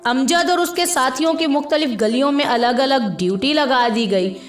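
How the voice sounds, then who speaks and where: A woman narrating a scripted story in a measured, expressive storytelling manner. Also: sits high. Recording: echoey room with background music. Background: music.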